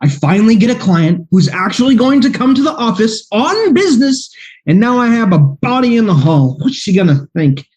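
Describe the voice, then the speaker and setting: A man as a flustered high-voiced detective. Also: very animated, moderate pace, darkish tone. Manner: flustered, exclaiming. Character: fretful young male private detective. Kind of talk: radio play acting.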